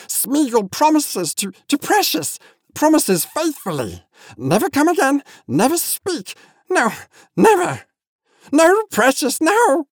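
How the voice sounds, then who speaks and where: A man's voice: very high voice, sing-song, takes it slowly, no echo, clean studio sound, voice pushed up, heavily acted. A man voicing a wretched, cringing small creature from a storybook, whining and whimpering in broken, repetitive phrases. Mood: desperate fawning. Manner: repetitive broken speech, calls himself we.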